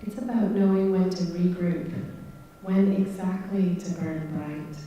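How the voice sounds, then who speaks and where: A woman reciting a poem in a hushed, measured way. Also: on the low side, steady tone, a little hiss or hum. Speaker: poetry reader. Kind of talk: slow poetic recitation. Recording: echoey hall from far off.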